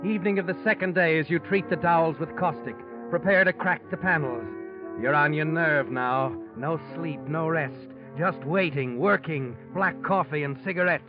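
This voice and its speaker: An actor playing a sinister, all-knowing narrator of a 1940s radio mystery, taunting the guilty man in a deliberate, dramatic way. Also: on the high side, close to an everyday voice. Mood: ominous, pressing. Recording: muffled old radio recording with loud music.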